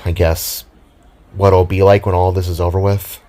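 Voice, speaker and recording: A man's voice: deep voice, level tone, moderate pace, mellow, pitched below the performer's own voice, natural voice. A man voicing a sullen, weary twenty-year-old younger sister with a sulky, hesitant manner in a narrated story.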